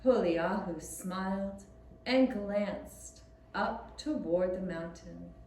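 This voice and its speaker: Woman storyteller for small children. Soft mellow tone, unhurried delivery, very roomy recording. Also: medium pitch. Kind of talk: scripted storytelling for young children. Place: echoey room, puppet show performance. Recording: roomy echoing sound with faint hiss.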